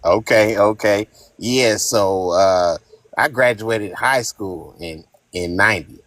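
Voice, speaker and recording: A man's voice: on the low side, takes it slowly, fairly bright tone, dry recording. A man in his fifties from Northern California, calling into a casual podcast chat and talking bluntly, with heated, profane storytelling. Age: 50s. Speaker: live-stream caller.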